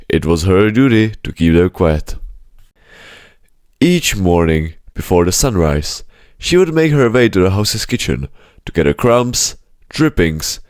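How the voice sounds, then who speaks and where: A man's voice: low-pitched, a little mellow, dry recording, clean studio sound. A man narrating a scripted children's story as an audiobook-style reader.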